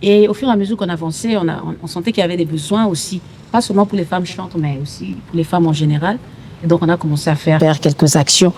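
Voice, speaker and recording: Middle-aged female interview guest, Congolese French accent, dark mellow timbre, light background noise. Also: on the low side, steady tone, quick pace. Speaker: gospel singer, interview guest. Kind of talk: unscripted interview talk.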